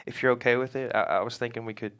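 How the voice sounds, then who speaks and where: An actor reading a shy, bumbling middle-aged husband at a script table read, hesitant and stammering. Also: male voice, mid-range voice, fairly even intonation, very fast, fairly bright tone, clear, resonant tone, natural voice. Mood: tender.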